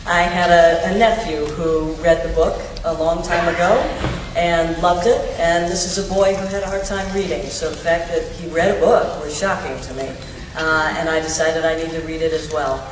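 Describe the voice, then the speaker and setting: Woman producer at q&a, even flat delivery, echoey, noisy background. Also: low-pitched, normal speed. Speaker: film producer on a panel. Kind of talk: plain anecdotal panel answer. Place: large cinema auditorium. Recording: echoey hall, distant mic, background noise.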